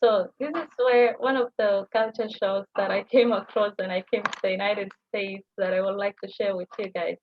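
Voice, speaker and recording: A woman's voice: medium pitch, fairly even intonation, normal speed, few pauses. A young woman with a Kenyan accent, whose first language is Somali, explaining at an easy pace with many fillers. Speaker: guest language teacher. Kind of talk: presenting on a livestream call. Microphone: video call. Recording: muffled video call audio with light noise.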